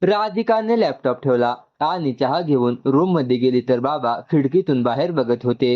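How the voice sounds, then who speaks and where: Male narrator, medium pitch, scripted emotional storytelling, dull lo-fi recording. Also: expressive, moderate pace.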